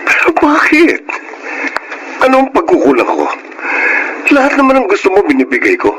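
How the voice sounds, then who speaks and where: A man's voice: high voice, huge pitch swings, normal speed, noisy background. A man playing an earnest, easily hurt young Filipino in a radio drama, with pleading, emotional delivery. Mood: hurt, shocked.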